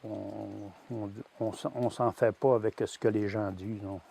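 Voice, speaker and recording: A man in his sixties with a Québécois French accent, a farmer giving a plain personal testimony, pausing often with fillers and restarts. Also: fairly low pitch, little pitch movement, creaky, warm tone, breathy edge. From Quebec. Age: 60s. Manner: hesitant, many "euh" fillers and restarts. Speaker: grain-fed veal farmer.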